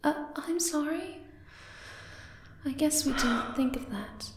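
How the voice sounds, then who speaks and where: Woman doing a sweet timid little moth girl for asmr rp, talks slowly, high voice, echoey. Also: expressive, background noise. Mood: hesitant, earnest. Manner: hesitant, timid, gentle. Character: shy, sweet, clumsy young moth girl. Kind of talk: ASMR roleplay acting. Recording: echoey, distant from the mic.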